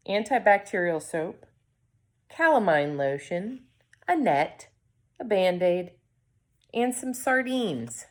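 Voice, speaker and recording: Woman reading a kids picture book out loud, really slow, lowish voice, expressive w dramatic pauses. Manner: expressive, deliberate dramatic pauses between short phrases. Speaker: coach reading a children's story. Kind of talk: storybook read-aloud with brief asides to viewers.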